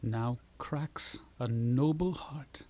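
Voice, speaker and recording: A man's voice: medium pitch, extremely slow, warm tone. A man playing a prince's grieving loyal friend in a Shakespearean puppet play, mournful and tender, drawing out the words. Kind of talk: classical Shakespearean stage acting in a puppet play.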